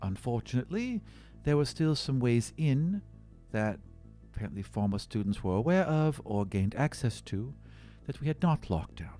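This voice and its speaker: A man voicing a solemn archon who instructs students in a tabletop fantasy game, speaking formally and deliberately. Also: mid-range voice, sing-song, warm tone, faint music behind, lightly acted. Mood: solemn, explaining. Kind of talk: tabletop roleplay acting.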